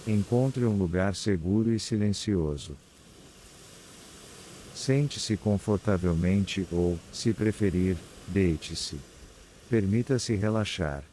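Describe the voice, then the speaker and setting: A man with a Brazilian accent guides a scripted meditation in a calm, soothing, unhurried manner with long pauses. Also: low voice, slight background noise. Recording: clear background ambience of ocean waves. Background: sea waves ambience.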